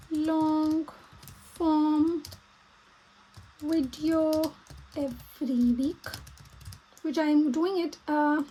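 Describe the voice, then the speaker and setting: Female vlogger, Pakistani accent, native Urdu speaker, high-pitched voice, halting think-aloud delivery for casual plan-with-me content. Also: slight background noise, poor audio. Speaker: vlogger and small business owner. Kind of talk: casual plan-with-me vlog talk.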